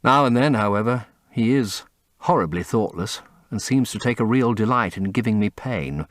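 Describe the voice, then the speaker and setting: A man's voice: fairly low pitch, animated, normal speed, dry recording, clean studio sound, lightly acted. A man voicing an earnest, intense painter who speaks in an impassioned, confessional way, as dramatic audiobook acting. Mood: wistful, pained. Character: earnest, intense male painter, devoted and anguished.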